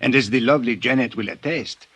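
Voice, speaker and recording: An actor in a 1950s radio drama playing a genteel, scheming foreign crime boss with a florid, wheedling, falsely affectionate manner. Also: male voice, medium pitch, a bit bright, dry recording, lightly acted. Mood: smooth flattery. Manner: florid, wheedling, formal speech with drawn-out endearments.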